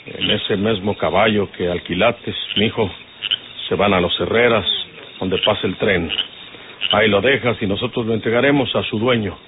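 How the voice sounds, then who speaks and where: An actor playing a domineering older outlaw boss in an old radio drama, with a rural northern Mexican accent and a folksy, commanding manner. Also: male voice, on the low side, moderate pace, close to an everyday voice. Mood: stern, fatherly. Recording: noisy, tinny vintage radio recording.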